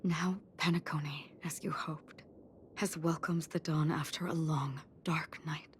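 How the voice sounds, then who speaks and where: Low, hushed, solemn woman. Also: fairly even intonation, measured pace, slight background noise. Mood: tender, wistful. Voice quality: soft, breathy, half-whispered. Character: solemn, gentle woman paying quiet tribute to the departed. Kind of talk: scripted game cutscene monologue.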